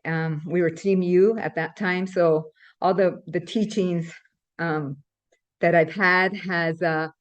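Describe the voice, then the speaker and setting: Soft-spoken woman on a dull video call. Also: lowish voice, fairly even intonation, takes it slowly. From Ecuador. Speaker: team member on a video call. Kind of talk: casual introduction.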